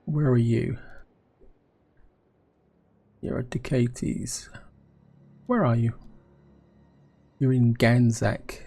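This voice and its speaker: A hoarse man with a cold, musing aloud as he plays a strategy game, often asking himself questions and speaking to his viewers. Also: mid-range voice, fairly even intonation, extremely slow, pauses often, mellow. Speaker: gameplay commentator.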